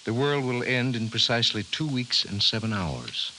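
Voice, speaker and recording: An actor playing a grave, precise professor in 1950s radio drama style, formal and measured. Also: male voice, medium pitch, lively intonation, bright, forceful tone, poor audio. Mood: grave, certain. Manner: measured, formal, emphatic delivery. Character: grave, precise male scientist, a government professor. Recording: hissy 1950s radio recording.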